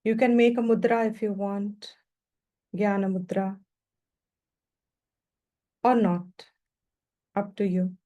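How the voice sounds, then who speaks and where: A woman guiding a meditation as a teacher, speaking gently and without hurry in short, spaced phrases. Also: mid-range voice, steady tone. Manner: gentle, unhurried, pausing between short phrases. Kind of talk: guided meditation instruction.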